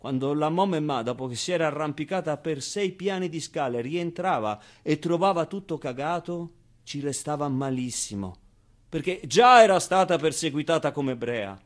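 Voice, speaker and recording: Theatre monologue: male actor as wry, tender orphan boy, higher-pitched voice, confiding and conversational. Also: animated, normal speed, close to an everyday voice. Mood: animated, confiding. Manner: conversational, hesitant interjections, emphatic repetitions. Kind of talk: theatrical monologue, intimate storytelling.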